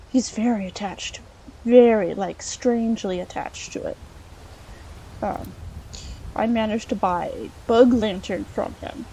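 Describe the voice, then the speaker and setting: A woman's voice: medium pitch, warm tone, a little hiss or hum, close to an everyday voice, low-quality recording. A woman playing an earnest, polite, inquisitive scholar adventurer in a video game, chatting and musing aloud with some hesitation. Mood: chatty. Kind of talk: improvised in-character let's play monologue.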